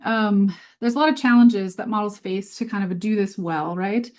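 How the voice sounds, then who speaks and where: A woman's voice: mid-range voice, normal speed, darkish tone. Woman giving an informal science webinar. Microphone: video call.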